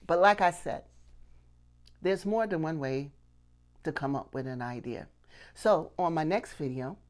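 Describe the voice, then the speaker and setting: A woman's voice: low-pitched, darkish tone. A middle-aged woman giving a casual lesson to camera, conversational and often checking in with little questions. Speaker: puppetry instructor and channel host. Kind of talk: casual instructional talk to camera. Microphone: clip-on lapel mic.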